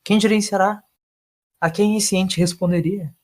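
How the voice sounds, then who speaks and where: A man's voice: sits high, fairly even intonation, measured pace, a little mellow, pitched above the performer's own voice. A man voicing a skeptical time-traveling doctor in an audio story, insistently questioning. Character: long-haired male Doctor, skeptical questioner.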